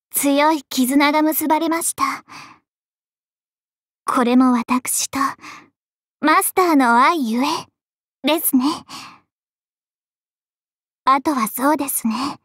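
Anime game role: young dragon maiden, high and unhurried, genteel, devoted, possessive. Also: female voice, no room sound, studio quality. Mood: intimate, loving. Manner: polite, genteel, softly deliberate. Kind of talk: Japanese anime game voice lines.

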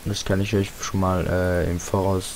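A fourteen-year-old boy with a slightly hoarse voice, giving casual, rambling, self-deprecating gaming commentary in slangy speech. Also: sits low, moderate pace. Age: 14, teenager. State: slightly hoarse, sore throat. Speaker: gaming video host. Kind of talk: casual Let's Play commentary. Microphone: home computer microphone. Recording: hissy home microphone with steady noise.

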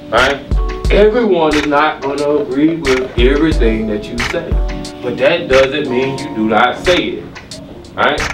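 Earnest man teaching a class, echoey room. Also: medium pitch. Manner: earnest, coaxing, keeps asking "right?". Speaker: poetry workshop mentor. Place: classroom, indoors. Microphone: far camera. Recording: echoey room from far off, with music.

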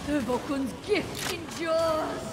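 An actress voicing a haughty, menacing fire sorceress boss who hurls commanding battle taunts. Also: female voice, sits high, slow. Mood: taunting. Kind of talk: game boss voice lines. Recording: game audio under loud noise.

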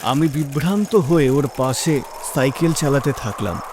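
A man's voice: medium pitch, moderate pace, background noise. A man narrating a scripted first-person horror story in a dramatic, suspenseful manner.

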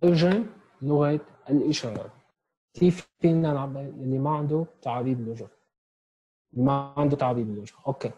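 A man's voice: medium pitch, fairly even intonation, a little fast, warm tone, slightly rough, dull audio. A man in his twenties with a Syrian Arabic accent gives an online sign language lesson, explaining step by step and often checking in with "okay?". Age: 20s. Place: small room at home, indoors. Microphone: earphone headset mic on a video call.

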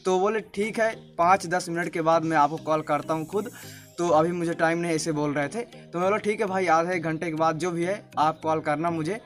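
A young Indian man in his late teens talking casually to camera as a video host. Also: fairly high pitch. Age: young adult, late teens. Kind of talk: casual vlog talk to camera. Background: music.

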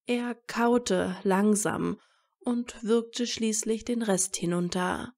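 A woman's voice: mid-range voice, takes it slowly, a little mellow. A woman reading scripted story narration as the narrator of an audio fiction.

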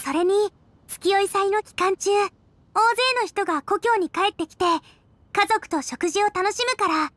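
A woman playing a cheerful, energetic teenage girl cook in a video game, friendly and earnest. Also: very high voice, measured pace, dry recording. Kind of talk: Japanese video game voice acting.

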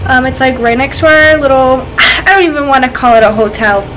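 A woman's voice: sits high, a little fast, fairly bright tone, a little hiss or hum, rough recording. A young woman in her twenties casually vlogging a road trip, chatty and full of "like" fillers, filming at arm's length. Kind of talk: casual travel vlog. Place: outdoors and in a car on a road trip. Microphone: handheld camera at arm's length.

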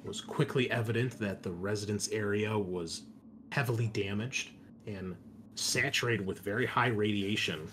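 A man game master recapping a tabletop session in a casual, conversational way, halting at times and leaning on descriptive words. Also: lowish voice, fairly bright tone. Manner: casual, sweary, conversational asides. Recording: clear background noise, room ambience.

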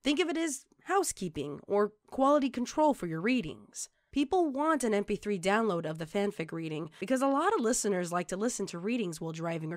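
Female tutorial presenter, animated melodic delivery, instructional scripted read, dry studio-like sound. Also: mid-range voice, no echo.

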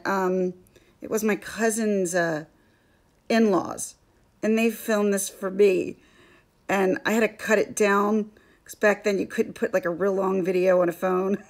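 A woman in her thirties, a vlogger and mother, chatting casually in a scratchy, hoarse voice, rambling and laughing often. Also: medium pitch. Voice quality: scratchy, gravelly voice. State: hoarse from allergies. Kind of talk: casual chat to camera.

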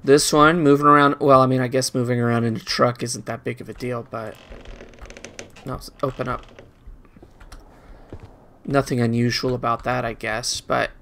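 A man's voice: medium pitch, little pitch movement, normal speed, pauses often, sharp tone, a little hiss or hum. A man giving casual video game commentary, rambling and muttering playfully to himself as he plays.

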